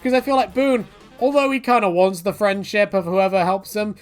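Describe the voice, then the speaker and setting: A man's voice: high-pitched, fairly even intonation, normal speed, faint music behind. A man giving casual, rambling opinion commentary in a jokey, conversational manner, often slipping into mock quotes. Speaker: video creator giving commentary.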